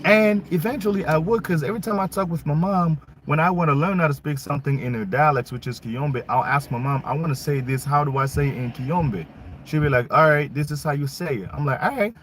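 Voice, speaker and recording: A man's voice: a little high, fairly quick, a little hiss or hum. A young man from Congo giving a casual, emphatic opinion monologue full of rhetorical questions, his not English. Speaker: vlogger.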